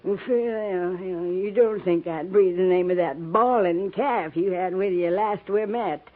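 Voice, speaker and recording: A woman's voice: on the low side, measured pace, phone-quality sound. An actress playing a sly, teasing, sick old frontier woman with folksy backwoods talk in a 1950s radio western. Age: older. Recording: thin, band-limited 1950s radio recording.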